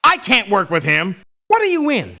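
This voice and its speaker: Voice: medium pitch, huge pitch swings, moderate pace, vocal fry, bright, forceful tone, exaggerated voice. An actor voicing a principled, picky mercenary doctor in a video game, speaking formally and precisely with dry disdain. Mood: stern, disdainful. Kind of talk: video game character voice lines.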